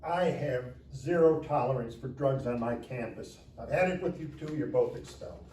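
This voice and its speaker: A man's voice: mid-range voice, moderate pace, dark tone, natural voice. Man playing a stern, fed-up school principal. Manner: authoritarian, exasperated. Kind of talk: live comedy screenplay table read. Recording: echoey room, distant mic, faint noise.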